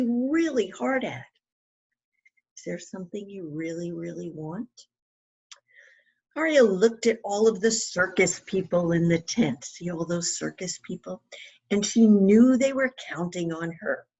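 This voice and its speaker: A woman's voice: medium pitch, expressive, unhurried, darkish tone, slightly rough, muffled recording. A woman reading a children's story aloud expressively, with emphatic phrasing and questions put to young listeners. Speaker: children's author reading her book aloud.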